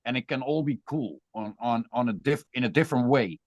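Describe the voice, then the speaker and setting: Dutch-accented male guest, casual and reflective, mid pitch, dry recording. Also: animated, brisk, no room sound, dull audio. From Netherlands. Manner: thoughtful, rambling with restarts. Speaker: guest musician. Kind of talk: casual interview chat.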